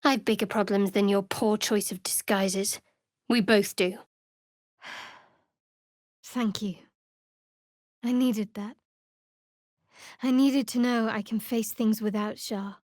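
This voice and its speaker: An actress playing a guarded, devout young cleric of a dark goddess in a fantasy video game, with a dry, wry, measured delivery. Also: female voice, mid-range voice, fairly even intonation, no echo, natural voice. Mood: vulnerable, earnest.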